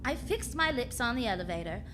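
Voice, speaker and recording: A woman's voice: a little high, big pitch swings, fairly quick, background noise. An actress playing a breezy, confident female private detective with a wry, flippant delivery in an old-style radio drama. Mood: wry narrating. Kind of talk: 1940s-style radio detective acting and narration.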